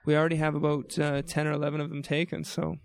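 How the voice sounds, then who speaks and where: A man's voice: fairly high pitch, completely flat delivery, gravelly. A young man from New Brunswick with a New Brunswick accent, co-hosting a casual podcast with playful, joking asides. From New Brunswick, Canada. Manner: jokey, playful, quick asides. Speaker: podcast co-host. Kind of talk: casual chat.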